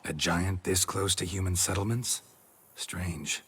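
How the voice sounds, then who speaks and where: An actor playing a stoic, gruff monster hunter in a video game, with a clipped, deadpan delivery in a gravelly growl. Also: male voice, deep voice, a little slow, dry recording, full character voice. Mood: dry, curious. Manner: clipped, deadpan, drops subjects from sentences. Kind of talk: video game cutscene acting.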